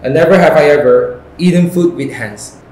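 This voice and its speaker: A man's voice: a little high, darkish tone, slight background noise. Indonesian-accented man hosting in an echoey room. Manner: halting non-native English with loose grammar. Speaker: host. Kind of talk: casual game hosting. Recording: echoey room, background music.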